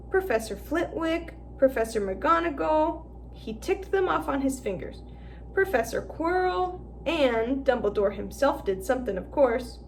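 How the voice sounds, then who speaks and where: A woman reading aloud and voicing a big, secretive gamekeeper man who talks roughly and drops word endings. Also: high voice, a little hiss or hum, exaggerated voice.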